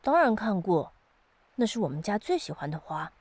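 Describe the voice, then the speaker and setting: A woman's voice: mid-range voice, very animated, normal speed, a little mellow, dry recording. A woman playing a mysterious ancient being of the lake in an audio drama, casual and teasing with a wistful side. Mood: quiet, wistful. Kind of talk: audio drama dialogue acting. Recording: studio voice over background music.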